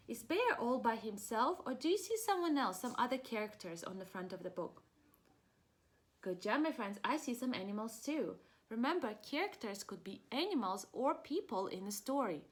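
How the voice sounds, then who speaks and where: A woman preschool teacher reading a picture book aloud, encouraging the children and asking them questions with pauses for answers. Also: on the high side.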